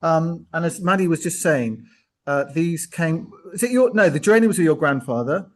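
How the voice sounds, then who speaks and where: A man's voice: on the high side, animated, normal speed. A man hosting an informal online arts show, speaking enthusiastically with rambling, chatty asides. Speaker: host.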